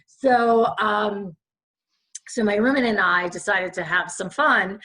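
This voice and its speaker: A raspy-voiced woman in her fifties, a podcast guest chatting and rambling with lots of fillers. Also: mid-range voice, slow, bright, forceful tone, rough recording. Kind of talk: casual chat.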